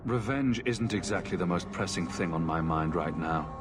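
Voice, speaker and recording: A somber, restrained hero played by a man with a deep voice. It sounds like cinematic fantasy game dialogue, with music underneath. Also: normal speed, natural voice.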